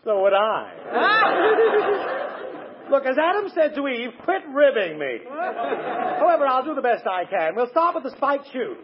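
On a muffled, faintly hissy old radio recording, a wisecracking salesman. His pitch is high and leaps about as he delivers sarcastic comedy lines to a studio audience. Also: very animated, measured pace, pauses often, crowd noise, exaggerated voice. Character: wisecracking, sarcastic male sporting goods salesman. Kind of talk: 1940s radio comedy acting.

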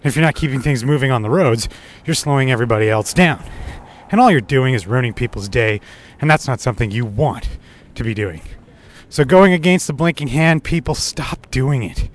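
Man hosting a podcast, mid-pitched. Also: expressive, a little fast. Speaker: podcast co-host. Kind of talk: conversational podcast talk and opinion monologue.

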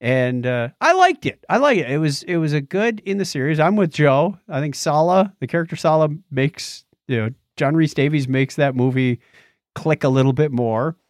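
A man's voice: fairly high pitch, animated, moderate pace, no echo, studio quality. A man co-hosting a podcast in casual chat, with a wry, joking way of putting things. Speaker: podcast co-host. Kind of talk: casual chat about shows, films and music.

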